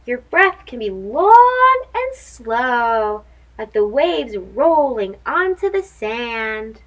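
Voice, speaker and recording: A woman's voice: fairly high pitch, big pitch swings, extremely slow, a bit bright, dull audio. A young woman hosting a children's read-aloud, talking in a sing-song way to little listeners as she reads a picture book.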